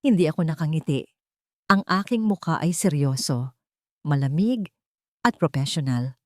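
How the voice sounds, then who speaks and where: A woman's voice: low-pitched, animated, moderate pace, a little mellow, dry recording, clean studio sound. A woman with a Filipino accent reading a dramatic first-person story as an audiobook narrator.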